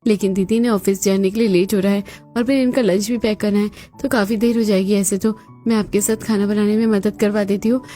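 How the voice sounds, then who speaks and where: A woman voices a young, newly married daughter-in-law in a cartoon family drama. She is polite, dutiful and meek, with a medium-pitched voice over background music. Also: fairly even intonation, a little fast, hardly any pauses, warm tone, close to an everyday voice. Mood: pleading.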